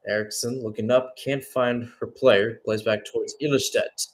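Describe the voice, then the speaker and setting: A man with a medium-pitched voice commentates live on a football match in radio play-by-play style. He moves between calling the action and loose, conversational analysis, sometimes hesitating or correcting himself as he goes. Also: fairly even intonation, normal speed.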